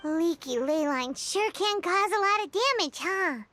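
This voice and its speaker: A woman voicing a tiny childlike fairy companion in a video game, curious, excitable and cutesy. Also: extremely high pitch, unhurried, a little hiss or hum, heavily acted. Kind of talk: scripted video game dialogue.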